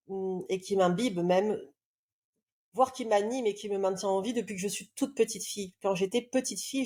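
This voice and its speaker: Warm-toned woman in her forties, podcast guest, reflective and earnest, halting storyteller. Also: medium pitch. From southern France. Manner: reflective, earnest, many hesitations. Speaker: love coach guest. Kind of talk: podcast interview, personal storytelling.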